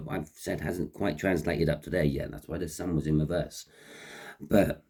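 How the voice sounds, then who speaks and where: A man with a croaky voice and a head cold, giving an unscripted tarot reading in a halting, repetitive way. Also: sits low, warm tone. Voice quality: croaky, husky. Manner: halting, repeating phrases as he explains. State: has a cold with a runny nose. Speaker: tarot reader and host.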